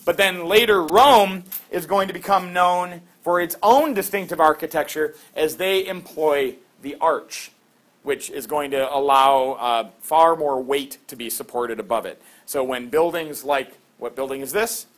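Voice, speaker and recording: A middle-aged man teaching a class, lively and quizzing students with quick questions. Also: fairly high pitch.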